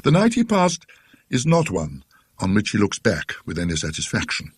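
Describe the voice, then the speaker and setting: A British man with a husky voice narrating a classic ghost story in a scholarly, dryly witty, deliberate manner. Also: lowish voice, wildly swooping pitch, no echo.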